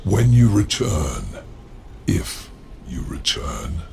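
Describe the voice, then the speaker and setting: Game villain: sly demon lord, very low and gravelly, formal, measured, calculating menace. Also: male voice, very animated, warm tone, a little hiss or hum, full character voice. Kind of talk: dramatic video game cutscene acting.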